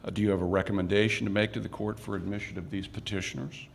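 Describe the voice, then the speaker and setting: A man's voice: on the low side, level tone, fast, creaky. A man serving as judge, presiding over a naturalization ceremony in brief, formal court phrasing. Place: community recreation center hall.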